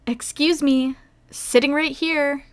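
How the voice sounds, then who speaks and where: Indignant, high-pitched young woman voiced by an actress. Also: fairly even intonation, slow. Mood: offended, sarcastic. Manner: sarcastic. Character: indignant, sarcastic young woman among boys.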